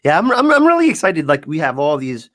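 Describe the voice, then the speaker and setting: A man co-hosting a casual fan podcast, upbeat and a little halting, with frequent restarts. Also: fairly high pitch, wildly swooping pitch, fairly bright tone, no echo, studio quality. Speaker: podcast co-host. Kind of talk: casual chat.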